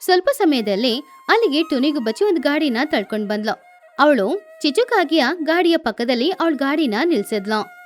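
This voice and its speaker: A woman narrates a children's tale in a very high voice. Her pitch swings in a lively way, and music runs behind the narration. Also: normal speed. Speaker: story narrator. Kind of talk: scripted children's story narration.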